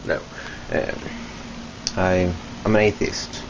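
A young Swedish man with a Swedish accent talks slowly and haltingly into a close webcam, stopping and restarting his sentences. His voice is very deep and flat. The home recording is muffled and lo-fi, with a clear steady hiss. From Sweden. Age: young adult. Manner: hesitant, many ums and restarts. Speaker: vlogger. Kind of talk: casual self-introduction to webcam. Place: small room indoors at home. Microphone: webcam at close range. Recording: dull lo-fi webcam audio with hiss.

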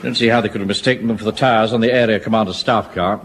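An actor playing a pompous, self-important middle-aged home guard captain in a radio sitcom. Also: male voice, lowish voice, fairly even intonation, very fast, close to an everyday voice. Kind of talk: 1970s radio sitcom acting. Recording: old radio recording with faint hiss.

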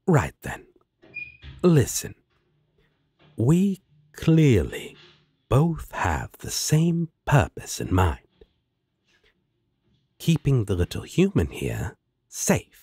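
Guy doing a slow husky demon roommate, sly and sardonic, audio roleplay drawl. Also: medium pitch, huge pitch swings, warm tone, dry recording, full character voice. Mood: placating. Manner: drawling, sardonic, coaxing endearments. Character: sly, teasing male demon roommate, laid-back and sardonic. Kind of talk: roleplay audio drama acting.

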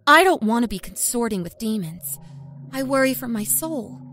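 A woman's voice: a little high, animated, moderate pace, a bit bright, a little hiss or hum. A woman voicing a sly young schoolgirl, a manipulative liar with an exaggerated, sugary coaxing manner, in an audio horror story. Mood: coaxing, confiding.

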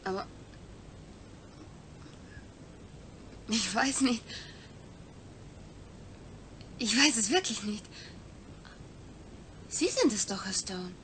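An actress playing a polite, evasive hospital nurse in a German radio play. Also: female voice, mid-range voice, lively intonation, a little slow, a bit bright, slight background noise.

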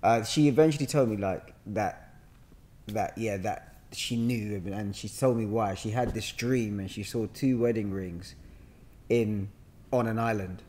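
A man in his thirties with a London accent, chatting and telling stories in an animated, bantering way full of slang. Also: lowish voice, a little slow. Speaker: podcast guest, actor. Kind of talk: casual conversational storytelling. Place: bright living room, on a sofa. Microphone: podcast mic on a boom arm.

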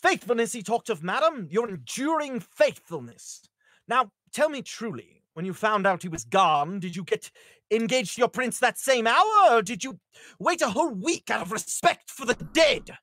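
A man's voice: extremely high pitch, sing-song, normal speed, sharp tone, no room sound, full character voice. A man playing a sardonic, bitter yet devoted masked swordsman in a screenplay table read. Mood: cold, mocking.

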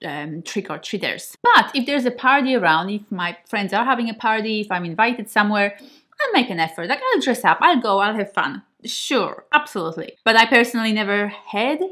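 A Polish-born woman in her thirties, a native Polish speaker, chatting to the camera at home. She talks in a relaxed, reflective way and laughs easily. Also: medium pitch, fairly quick. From Poland. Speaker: vlogger. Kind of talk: casual talk to camera. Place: home kitchen and living room, indoors.

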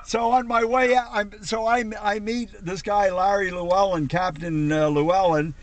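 A man's voice: sits high, fairly even intonation, normal speed. A man in his seventies chatting casually and ramblingly, with salty language, on a call from inside a car. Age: 70s. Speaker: vlogger on a road trip with old Marine friends. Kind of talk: casual chat on a conference call. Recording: noisy camcorder audio inside a car.

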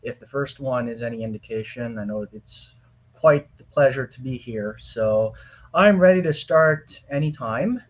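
A man in his thirties speaking as a debate guest from his home over a video call, polite and measured. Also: mid-range voice, highs cut off. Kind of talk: formal debate opening. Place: home room with a fan running.

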